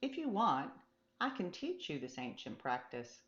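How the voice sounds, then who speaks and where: A woman voicing a calm, patient, encouraging meditation teacher in a storybook, gently reassuring as the teaching goes on. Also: deep voice, measured pace, reverberant, muffled recording. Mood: reassuring, instructive. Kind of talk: storybook character dialogue.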